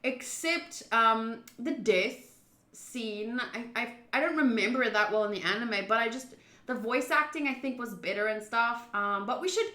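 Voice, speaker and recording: A woman chatting casually on a livestream about a visual novel, expressive and thinking aloud as she goes. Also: fairly high pitch, normal speed, reverberant. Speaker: streamer playing a visual novel.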